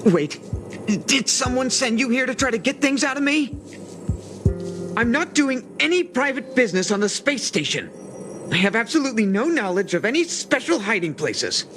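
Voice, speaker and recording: A man's voice: very high-pitched, big pitch swings, moderate pace. A man playing a shifty, defensive space station worker in a game, suspicious and grumbling as he talks. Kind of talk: scripted game dialogue. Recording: music playing behind the voice.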